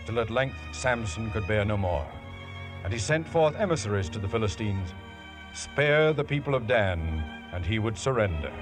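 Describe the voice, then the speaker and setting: A man narrating a biblical radio drama in a grave, formal manner. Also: fairly low pitch. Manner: grave, formal, archaic phrasing. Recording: old radio broadcast with music.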